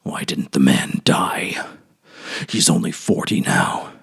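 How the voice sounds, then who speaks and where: An old ruined banker from a classic storybook, acted out by a male reader. He broods to himself in a low, hushed mutter. Every word is weighed down with anxiety and bitter despair. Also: lively intonation, takes it slowly, gravelly, husky, no echo, in a whisper, clean studio sound, full character voice. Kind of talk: dramatic short story reading.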